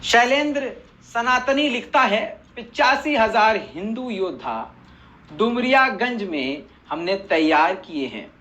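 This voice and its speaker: A man's voice: sits high, animated, takes it slowly, bright, forceful tone, lo-fi sound. A man hosting scripted news commentary in a pointed, sarcastic way, addressing his viewers directly.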